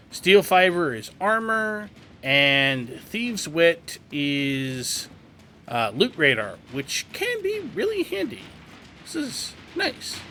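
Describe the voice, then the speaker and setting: A man narrates a video game guide in a medium-pitched voice. His delivery is informal and explanatory, like casual tutorial commentary recorded over gameplay. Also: sharp tone, a little hiss or hum.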